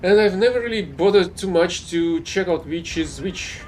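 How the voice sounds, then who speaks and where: A man's voice: high-pitched, normal speed, darkish tone, slight background noise, rough recording. Man giving casual game commentary, non-native English. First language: not English. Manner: rambling, self-correcting, mildly exasperated. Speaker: gameplay streamer.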